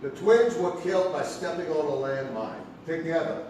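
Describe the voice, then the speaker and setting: An actor playing a harried, slick 1940s brokerage boss, bossy and colloquial, in a radio-style stage drama. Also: male voice, on the high side, normal speed, darkish tone, natural voice. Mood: placating. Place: theatre stage, live show. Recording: echoey theatre stage, distant mic, faint noise.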